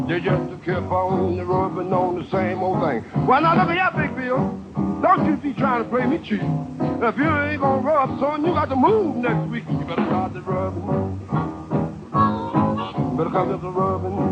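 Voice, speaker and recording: Male blues singer, unhurried half-spoken verses and sung refrains, loud guitar band, muffled 1940s record. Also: sits high.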